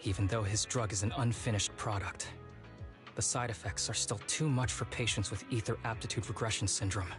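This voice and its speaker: An actor playing an easygoing young game agent with a long illness, talking in a casual, wry, measured way. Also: male voice, fairly low pitch, fairly even intonation, lightly acted. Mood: calm, serious. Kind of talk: video game voice acting. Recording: game music behind.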